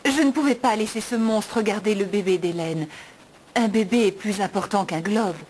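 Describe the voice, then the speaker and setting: A woman's voice: medium pitch, moderate pace, darkish tone, slight background noise, natural voice, low-quality recording. A woman playing a plucky, good-hearted young hero in French cartoon dub acting, earnest and indignant.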